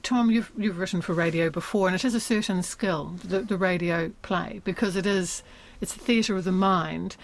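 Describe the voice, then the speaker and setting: A woman with a fairly low, creaky voice conducts a radio interview. Her delivery is conversational. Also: moderate pace, few pauses.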